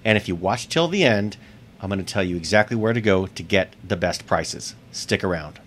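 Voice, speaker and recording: Chatty East Coast man with low voice. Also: lively intonation, normal speed, a bit bright, slight background noise. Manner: upbeat, chatty, repeats key points. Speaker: bowling channel host. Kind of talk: direct-to-camera tips vlog. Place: small indoor room with shelves. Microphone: seated close to camera.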